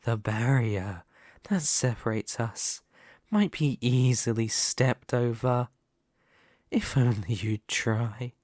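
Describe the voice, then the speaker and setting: A man playing a dominant, vain and possessive demon lord in an ASMR roleplay, speaking formally and theatrically with deliberate dramatic pauses. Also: medium pitch, a little mellow, no room sound, studio quality. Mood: coolly possessive. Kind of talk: ASMR roleplay character monologue.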